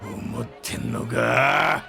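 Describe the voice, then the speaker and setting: An actor playing a gruff, hot-tempered middle-aged spaceship captain in an audio drama, with a gravelly voice and blustery, rough speech. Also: male voice, medium pitch, extremely slow, music in the background, heavily acted. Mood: angry, indignant. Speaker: ship captain. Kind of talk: Japanese audio drama acting.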